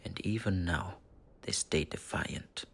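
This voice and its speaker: A man playing a menacing, mocking dark spirit in a possessed body, gravelly and husky, in a theatrical fantasy audio roleplay. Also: low voice, in a whisper, heavily acted. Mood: sardonic taunting. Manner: theatrical, taunting, deliberate with dramatic pauses.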